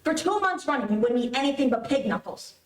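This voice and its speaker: Female actor as whiny, nagging wife, old-time radio comedy. Higher voice, distant from the mic. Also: animated, fast. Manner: whiny, reproachful. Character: nagging, self-pitying wife in a bickering comedy marriage. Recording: echoey, distant streamed stage recording.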